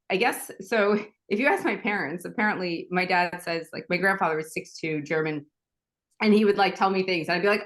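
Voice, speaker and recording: A woman from Staten Island, a podcast guest chatting casually and acting out the people in her stories. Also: mid-range voice. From Staten Island, New York. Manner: animated storyteller, acts out quoted dialogue, frequent restarts. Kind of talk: casual conversational interview.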